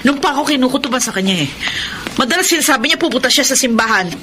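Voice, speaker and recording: Very high-pitched, intense young-sounding daughter. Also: female voice, expressive, very fast, pushed and bright, slight background noise. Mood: troubled, confiding. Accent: Filipino. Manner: intense, emotional, dramatic. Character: grieving, accusing adult daughter, married, devout and emotional. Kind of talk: Filipino radio melodrama acting.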